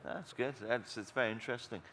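A man's voice: medium pitch, a little fast, a bit bright. A man moderating a conference panel, asking long, rambling questions full of fillers.

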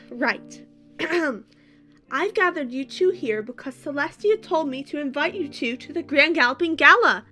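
A young pony princess played by a woman speaks politely in a very youthful high voice, as a friendly mentor in a cartoon drama. Music sits behind her. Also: animated, measured pace, sharp tone, full character voice. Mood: cheerful. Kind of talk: animated fan audio drama.

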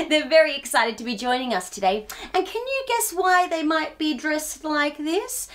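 A woman's voice: high voice, expressive, a little slow, a bit bright. A woman in her thirties hosting storytime for young children, talking in a playful, exaggerated sing-song way. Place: small furnished room, indoors.

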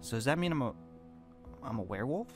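A young man playing a confused teenage boy newly turned hellhound in a Minecraft roleplay drama, halting and stammering. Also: medium pitch, lively intonation, natural voice. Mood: bewildered. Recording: music behind the voice.

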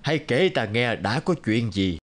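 A man voicing a kindly old magical sage, gentle and solemn, in an animated fairy tale. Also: medium pitch, moderate pace, close to an everyday voice. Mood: benevolent.

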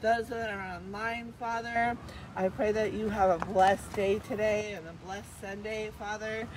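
A woman's voice: mid-range voice, level tone, measured pace, noisy background. A woman leads a passionate, spontaneous closing prayer at a church service with a powerful voice and no microphone, and she keeps coming back to "just" and "Father.". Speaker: church member leading prayer.

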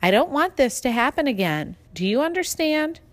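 A woman's voice: sits high, big pitch swings, moderate pace, no room sound, voice pushed up. A woman playing a firm but fair female schoolteacher in a storybook, giving instructions.

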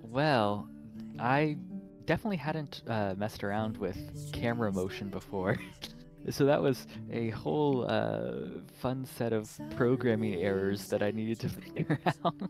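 A man's voice: lowish voice, animated, a little slow, vocal fry. A man talking casually as a game developer in an online interview, often chuckling as he goes. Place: online voice call. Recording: music playing under voice. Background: plucked guitar music.

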